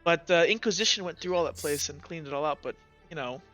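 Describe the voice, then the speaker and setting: A man's voice: a little high, fast, a bit bright, soft background music, lightly acted. A man voicing a brusque but helpful woman shopkeeper in a tabletop game, with hesitant, informal phrasing. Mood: helpful, confiding.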